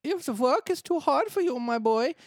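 A sassy storybook pig bricklayer, put on by a woman, camp and exaggerated with a mock-German flavour. The voice is pitched very high, loud and dry. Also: lively intonation, moderate pace, no echo. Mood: brisk, sassy.

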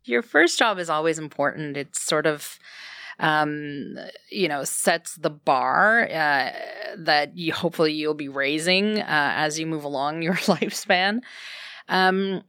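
Russian-native woman guest, smooth lowish voice, thoughtful and hesitant, dry close mic. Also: a little slow, fairly bright tone, dry recording. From Ukraine. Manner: thoughtful, many ums, laughs lightly. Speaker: guest, fashion brand founder. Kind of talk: casual interview chat.

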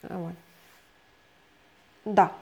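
A woman from Russia, a guest expert, explaining ideas at length in conversation and often hedging her words. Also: drawn-out words.

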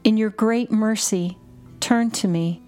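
A woman guiding contemplative prayer, reading in short, measured and deliberate phrases. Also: mid-range voice, warm tone. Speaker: prayer guide and podcast host. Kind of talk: slow, repetitive guided contemplative prayer reading. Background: music under the voice.

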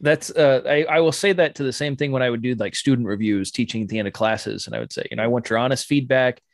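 A man hosting a casual podcast in a gravelly voice. He talks in a relaxed way, throwing in wry asides and laughing often. The recording is dry, with no room sound. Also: medium pitch, fast talker, no room sound. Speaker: podcast host.

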